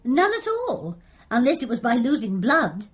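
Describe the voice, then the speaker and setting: Meek, high-voiced widow. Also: female voice, huge pitch swings, moderate pace, husky, muffled recording, full character voice. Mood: innocently matter-of-fact. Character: meek, hapless, scatty widowed female dog owner. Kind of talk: gently comic radio drama acting.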